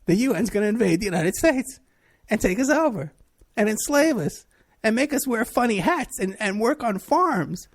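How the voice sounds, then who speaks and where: A man's voice: high-pitched, lively intonation, moderate pace, few pauses. A man from New City, hosting a talk radio show in a rambling, sarcastic monologue. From New York City. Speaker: radio show host, private investigator.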